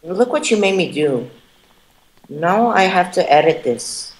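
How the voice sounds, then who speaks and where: A man's voice: high-pitched, sing-song, measured pace, poor audio. A man chatting over voice chat while gaming, trading sarcastic jabs and panicking when his character is in danger. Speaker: gamer and channel editor. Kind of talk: casual gameplay commentary.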